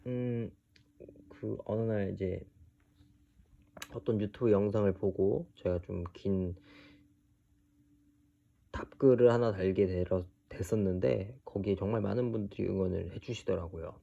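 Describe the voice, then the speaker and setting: A man in his early thirties giving an unscripted personal monologue, speaking haltingly with hesitant pauses. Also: lowish voice, vocal fry, dull audio. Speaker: video creator telling his own story.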